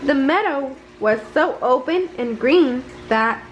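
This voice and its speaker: A woman reads a children's bedtime story aloud expressively, with some halting slips. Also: high voice, expressive, dull audio, slight background noise. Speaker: storybook reader.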